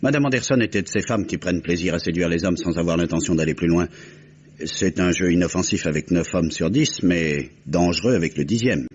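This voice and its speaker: An actor playing a composed detective, measured and articulate as he explains, in an old French radio crime drama. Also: deep voice, husky. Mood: composed, explanatory. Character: composed, methodical detective recounting a solved murder. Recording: muffled old radio recording, faint noise.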